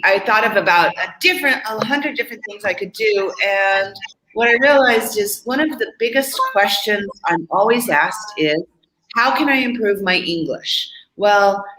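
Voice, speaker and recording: Woman from Oregon, moderator, mid-pitched, roomy echo with faint hiss. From Oregon, United States. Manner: relaxed, chatty, with self-corrections. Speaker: moderator and English coach. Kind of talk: casual moderating. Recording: echoey room with faint noise.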